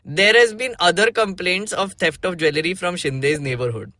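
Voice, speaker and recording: Bright-voiced Indian man hosting a podcast. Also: sits high, moderate pace, no echo, studio quality. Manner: explanatory, mixes Hindi into English. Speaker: podcast host. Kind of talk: casual podcast talk with dramatic read-aloud.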